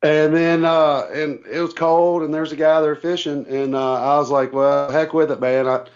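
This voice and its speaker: A man's voice: fairly high pitch, level tone, normal speed, darkish tone. A man on a call telling stories casually as a guest, in a plain-spoken, folksy way. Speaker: interview guest, tournament angler. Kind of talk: casual storytelling chat. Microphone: remote call. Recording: dull lo-fi call audio.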